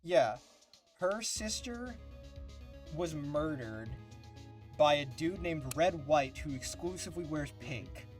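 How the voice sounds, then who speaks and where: A man's voice: on the high side, lively intonation, takes it slowly, pauses often. A man hosting a live fandub stream with casual gaming banter over game music. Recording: music behind.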